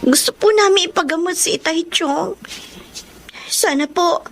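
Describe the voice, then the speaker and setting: An actress playing an earnest, worried young student daughter in a Filipino radio drama, explaining things carefully. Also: female voice, extremely high pitch, unhurried, a little hiss or hum. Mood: concerned.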